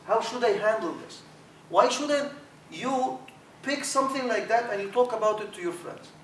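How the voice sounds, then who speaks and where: Arabic-accented imam with a high voice. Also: male voice, moderate pace. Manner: animated, repeats words, drops Arabic fillers. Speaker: imam teaching a workshop. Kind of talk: workshop talk to trainees. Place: university lecture room. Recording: echoey room, distant mic, faint hiss.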